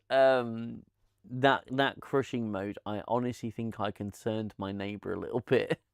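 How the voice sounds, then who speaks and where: A man's voice: mid-range voice, gravelly, no echo, clean studio sound. A man hosting a casual live-stream chat, rambling and laughing with many restarts, on a lavalier mic at home. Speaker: channel host.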